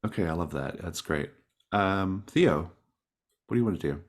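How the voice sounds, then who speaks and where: A man's voice: low voice, moderate pace. A man speaking casually and encouragingly as a game master, explaining rules and setting to his players. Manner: encouraging, affirming players' ideas.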